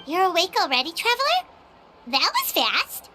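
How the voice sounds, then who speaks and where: A woman voicing a tiny, childlike fairy companion in a video game, squeaky, excitable and inquisitive. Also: moderate pace, over music, exaggerated voice. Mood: perky.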